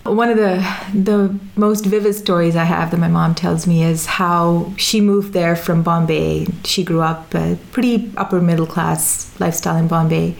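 A woman with a lowish, gravelly voice recalls her childhood in an easy, conversational way. She wanders through her stories with frequent fillers and restarts. The tone stays relaxed and unhurried. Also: poor audio. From Sudan, raised in Dubai. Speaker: interview guest. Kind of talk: casual conversational storytelling.

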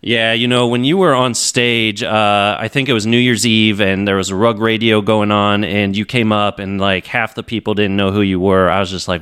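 A man's voice: fairly low pitch, a bit bright, clean tone, no room sound, clean studio sound. A man in his thirties hosting an online audio interview show. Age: 30s. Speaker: talk show host.

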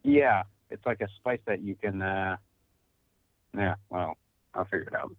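A man's voice: deep voice, measured pace, no room sound. A man co-hosting a casual chat, telling laid-back stories and quoting his own thoughts. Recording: tinny phone-like line.

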